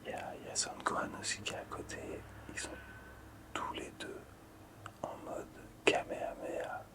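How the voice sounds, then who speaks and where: Male ASMR host, fully whispered and breathy, soft hesitant delivery with repeated phrases. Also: normal speed. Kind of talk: whispered ASMR presentation of collectible cards.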